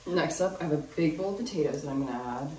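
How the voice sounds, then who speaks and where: A young woman vlogger chatting casually as she cooks in her home kitchen, thinking out loud about each step. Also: low-pitched, fast, slight background noise. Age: young adult. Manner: chatty, thinks aloud while cooking. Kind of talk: casual cooking vlog talk. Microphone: camera on the counter, a few steps away. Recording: echoey kitchen, mic at a distance.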